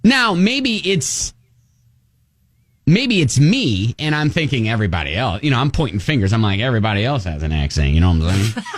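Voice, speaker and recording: A man from Ohio co-hosting a radio show, telling a story in a casual on-air chat with a slightly nasal voice and lots of quick restarts. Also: medium pitch, big pitch swings.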